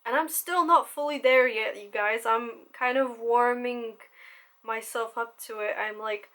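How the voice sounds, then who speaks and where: A woman's voice: on the high side, steady tone, a little slow, fairly bright tone. A young woman talking candidly to camera as a content creator, swearing casually and laughing often.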